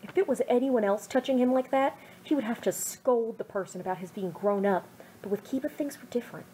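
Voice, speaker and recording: A woman's voice: fairly high pitch, a little fast, warm tone, a little hiss or hum, rough recording. Young woman reading fan fiction aloud. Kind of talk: amateur audiobook reading.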